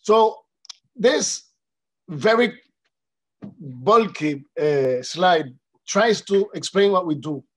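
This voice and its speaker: A man with a Spanish accent, from the Dominican Republic, presents in a webinar. His voice sits a little higher than most men's. He talks politely and hesitantly, with fillers and false starts. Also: expressive, very slow, pauses often, poor audio. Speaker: panelist representing an international organization.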